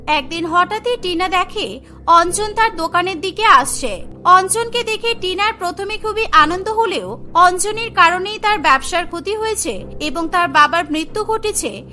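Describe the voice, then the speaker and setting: A woman's voice: extremely high pitch, pushed and bright. A woman reading scripted narration for a children's cartoon story.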